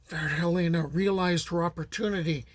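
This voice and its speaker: Middle-aged american guy reading old folk tales, kinda high voice, super dry close mic, easygoing pace. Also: fairly even intonation, no room sound. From United States. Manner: plain, unhurried storytelling voice with small restarts. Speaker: storyteller and channel host. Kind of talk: folktale narration.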